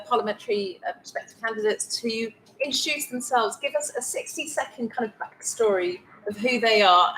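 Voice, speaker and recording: Woman panel chair, brisk, slightly high voice. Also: sharp tone, a little hiss or hum, low-quality recording. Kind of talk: moderating a panel.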